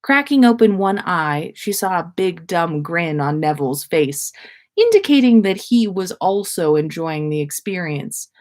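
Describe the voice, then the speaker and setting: A woman host reading a story aloud in a storytelling style, with casual asides. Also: fairly low pitch. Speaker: podcast host reading listener stories.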